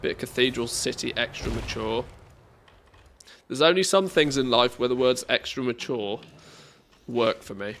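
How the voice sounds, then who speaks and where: A man's voice: medium pitch, moderate pace, fairly bright tone, slight background noise. A man with an English accent chatting casually as a game livestreamer, in a jokey, self-deprecating way. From England, born in the northwest, lived mostly in the Midlands.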